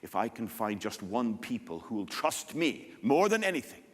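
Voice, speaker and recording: Man voicing a hushed, whispering God. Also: lowish voice, sing-song, moderate pace, in a large hall, voice pushed down. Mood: solemn. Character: God, solemn hushed divine male voice.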